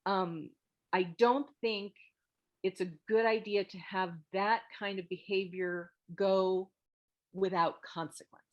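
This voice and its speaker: Frank, halting woman giving casual feedback. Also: mid-range voice, steady tone, muffled recording. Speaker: host of a table read meet-up giving script feedback. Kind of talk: casual online group critique. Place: online video call. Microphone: video call microphone.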